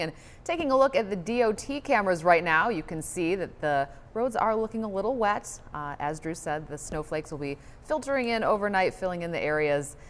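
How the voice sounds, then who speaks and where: Female American news anchor, low-pitched voice, broadcast delivery, reverberant studio.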